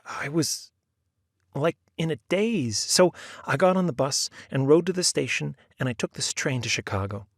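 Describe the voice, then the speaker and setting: A man putting on a flustered woman of thirty, high and breathless, gushing apologies. Her voice skips quickly and sounds ashamed, recorded dry and close. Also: lively intonation, no echo.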